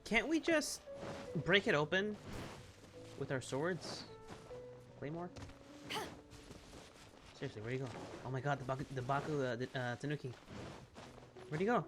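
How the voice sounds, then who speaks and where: Excitable man streaming over loud game music. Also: fairly high pitch, moderate pace, pauses often. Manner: excitable, slangy. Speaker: game streamer playing and reacting. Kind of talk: casual gaming commentary. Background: video game music.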